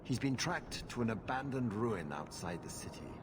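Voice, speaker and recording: A man's voice: medium pitch, lively intonation, normal speed, background noise, lightly acted. A man playing a haughty, humorless magistrate with a formal, imperious manner in a video game. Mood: stern, imperious. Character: haughty, stern male magistrate, curt and humorless. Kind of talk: video game dialogue acting.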